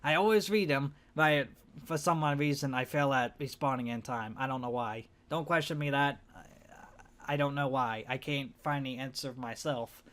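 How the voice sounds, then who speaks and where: A young man with a hoarse voice and a stuffed-up nose, rambling hesitantly in a casual vlog for his gaming channel. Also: medium pitch, normal speed, a bit bright. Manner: rambling, hesitant, self-conscious.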